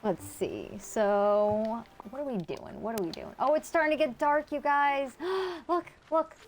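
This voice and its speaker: A woman's voice: high voice, a little slow, a little hiss or hum. Chatty woman with a Connecticut accent. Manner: chatty, reads and answers chat, many fillers. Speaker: streamer. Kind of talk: casual live-stream chat while gaming.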